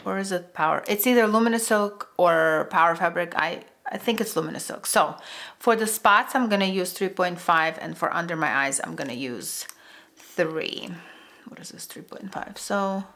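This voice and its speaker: A woman's voice: mid-range voice, normal speed, fairly bright tone. A young woman, chatting casually while doing her makeup, rambling with many fillers and restarts. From Eastern Europe. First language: Russian. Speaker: beauty vlogger. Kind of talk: casual get-ready-with-me chat.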